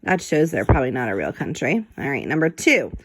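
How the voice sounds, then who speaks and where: A woman reading a children's book aloud as an expressive narrator, in a playful, theatrical way. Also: a bass voice, fairly quick, slightly rough. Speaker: storybook reader.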